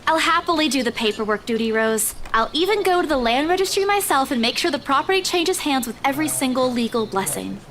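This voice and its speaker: An actress playing an eager, excitable young female real estate agent in a visual novel. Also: high-pitched, fast talker, few pauses, slight background noise. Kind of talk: visual novel character acting.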